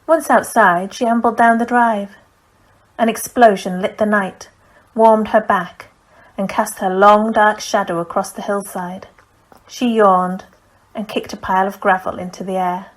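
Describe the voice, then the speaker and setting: A woman narrates fiction as an audiobook reader, with a medium-pitched voice. She delivers prose from the page in a scripted storytelling style. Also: fairly even intonation, measured pace, poor audio.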